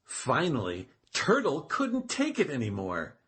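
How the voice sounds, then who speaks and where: A storyteller reading a children's tale aloud as expressive, deliberate narration. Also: medium pitch, breathy edge.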